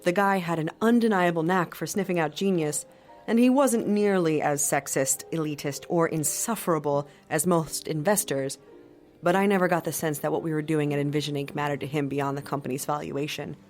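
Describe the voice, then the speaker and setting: A woman's voice: low-pitched, brisk, a little mellow, soft background music, natural voice. An actress playing a skeptical company lawyer telling her story in an audio drama with dry, wry disapproval. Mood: wry, reflective. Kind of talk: first-person audio drama narration.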